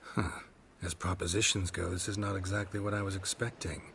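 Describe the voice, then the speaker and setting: An actor voicing a gruff, sardonic male monster hunter in a video game, terse and deadpan in manner. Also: low-pitched, brisk, a little hiss or hum. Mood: dry, skeptical. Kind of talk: video game dialogue acting.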